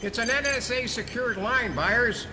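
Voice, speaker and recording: An actor playing a sardonic, wisecracking middle-aged American conspiracy hacker in an audio drama. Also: male voice, extremely high pitch, measured pace, noisy background. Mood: brisk.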